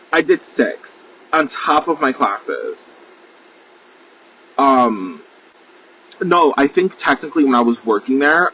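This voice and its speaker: A man's voice: mid-range voice, a bit bright, muffled recording, a little hiss or hum. A man, talking in a rambling, casual solo podcast monologue, full of fillers, swearing freely and poking fun at himself. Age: 20s. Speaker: podcast host.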